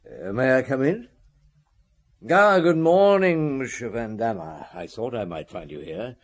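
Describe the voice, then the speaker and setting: An actor playing a shrewd police detective who questions tersely in a radio drama. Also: male voice, mid-range voice, lively intonation, a little slow. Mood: politely pressing. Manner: terse, probing. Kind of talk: old radio drama acting.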